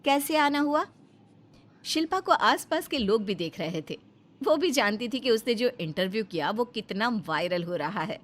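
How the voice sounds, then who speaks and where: A woman's voice: medium pitch, expressive, normal speed, a bit bright. A woman narrating a scripted Hindi family moral story as a storyteller.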